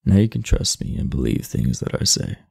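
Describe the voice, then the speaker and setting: A man playing an aloof, irritable, sleepy teenage delinquent in an ASMR roleplay, blunt, sardonic and guarded, with a low, gravelly voice. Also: big pitch swings, a little fast, a little mellow, no echo, studio quality, full character voice. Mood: dryly teasing. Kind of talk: ASMR roleplay, speaking to the listener.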